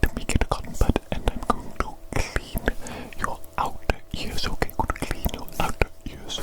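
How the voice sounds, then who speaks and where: A woman whispering softly and breathily in an ASMR medical roleplay as a doctor, soothing and repeating short phrases. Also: normal speed. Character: evil doctor tending a big creature.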